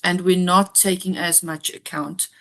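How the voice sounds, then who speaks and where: A woman, a guest giving measured, analytical commentary with frequent ums. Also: on the low side, steady tone. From South Africa. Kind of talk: interview discussion.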